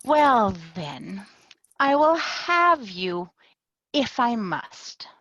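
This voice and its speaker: A woman's voice: on the high side, sing-song, a bit bright, full character voice. A woman reading the part of a petulant, greedy young lady over a video call, drawing out her lines. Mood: sulky. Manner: petulant and unhurried. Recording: dull, dry video-call audio.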